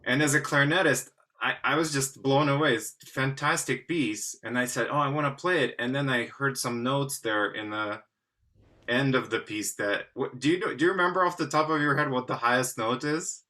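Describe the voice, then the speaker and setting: A man's voice: mid-range voice, fairly quick, bright, forceful tone. A man speaking enthusiastically and conversationally as he interviews guests on a video call. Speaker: interviewer, artistic director and clarinetist.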